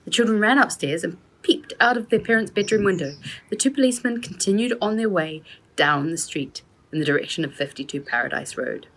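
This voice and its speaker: A woman's voice: mid-range voice, expressive, brisk, fairly bright tone, rough recording. A woman narrating a children's storybook as the author reading her own work.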